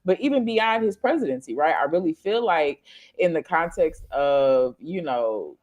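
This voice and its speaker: A man co-hosting a casual political chat show, talking in animated, slangy bursts that rise to loud exclamations. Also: on the high side, normal speed. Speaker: podcast co-host.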